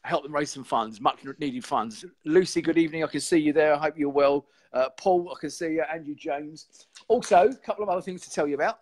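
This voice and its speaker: A man, hoarse and croaky, hosting a casual live stream show and chatting in a rambling way. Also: medium pitch, darkish tone. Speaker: podcast host.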